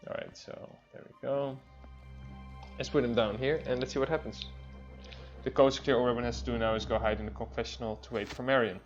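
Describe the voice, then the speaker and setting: A man's voice: mid-range voice, fairly even intonation, brisk, dull audio, soft background music. A man with a Dutch accent, a non-native English speaker, casually commenting while playing a game, chatty with many fillers. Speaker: let's play host.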